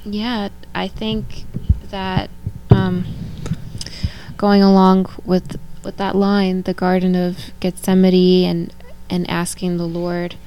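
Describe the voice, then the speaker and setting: A young woman hosting a faith talk, speaking softly and hesitantly with fillers. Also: on the low side, flat delivery, slow pace, dull audio, a little hiss or hum. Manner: soft-spoken, hesitant with fillers. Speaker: host, daughter of guest.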